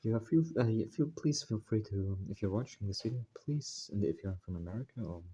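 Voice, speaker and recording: A man hosting his own channel, giving a casual introduction to a text study. He speaks hesitantly, with frequent fillers and self-corrections, while deliberately putting on an American accent. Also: fairly low pitch, animated, dark tone, highs cut off, slight background noise. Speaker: channel host.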